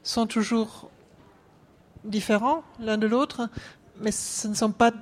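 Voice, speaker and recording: A woman's voice: a little high, fairly even intonation, slow. A woman writer answering on a discussion panel, hesitant and often restarting her phrases.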